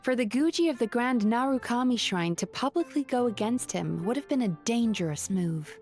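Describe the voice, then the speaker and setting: A calm, earnest young businesswoman. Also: on the high side, soft background music. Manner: calm, measured, sincere. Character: composed, earnest young businesswoman, quietly self-aware. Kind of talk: video game voice acting.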